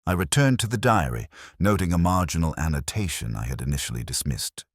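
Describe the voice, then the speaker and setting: A man playing a reserved, observant English police detective, narrating in the first person in a measured, formal, literary way. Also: extremely low pitch, expressive, no room sound, studio quality. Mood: measured, contemplative.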